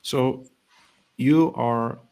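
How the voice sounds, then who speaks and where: A man hosting a motivational talk show, speaking earnestly and slowing down to stress quotations. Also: mid-range voice, gravelly, darkish tone, smooth. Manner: earnest, quotes sayings, slow emphasis.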